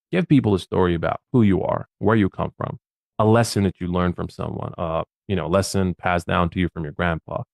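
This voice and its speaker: Low-voiced emphatic man giving advice. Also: vocal fry, dry recording. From Bosnia. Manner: emphatic, punchy, often repeats words. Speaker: podcast guest, social media advisor. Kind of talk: casual advice talk.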